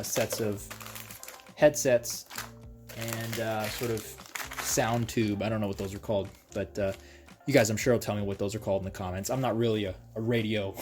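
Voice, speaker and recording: Casual man reviewing gear, music behind. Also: medium pitch. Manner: conversational explainer, addresses viewers directly. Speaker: product reviewer. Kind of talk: casual unboxing and review talk to viewers.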